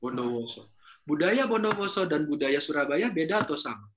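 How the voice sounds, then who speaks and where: A man's voice: on the high side, animated, brisk, fairly bright tone. A man giving a casual university lecture, chatting with students, asking them questions and slipping in Javanese and English words. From North Sulawesi, Indonesia.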